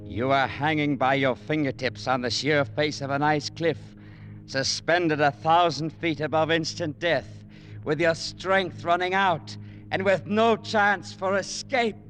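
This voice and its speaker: A grave, dramatic male narrator builds suspense in 1940s radio drama. His pitch sits a little high, over faint music on an old broadcast recording. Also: steady tone, measured pace, a bit bright. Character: grave narrator of deadly adventure, addressing the listener.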